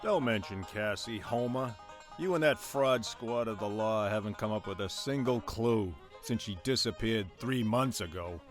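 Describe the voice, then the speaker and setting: A man's voice: fairly low pitch, moderate pace, soft background music, lightly acted. A man playing an earnest, indignant scoutmaster with melodramatic delivery in a radio drama. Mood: indignant, urgent.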